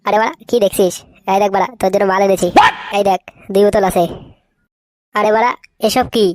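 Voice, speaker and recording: A man voicing a brash, foul-mouthed young cartoon layabout, swaggering and slangy, with a pitch-altered voice. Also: fairly even intonation, fairly quick, darkish tone, no echo, studio quality. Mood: impatient, bickering. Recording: faintly noisy cartoon dub, birdsong behind.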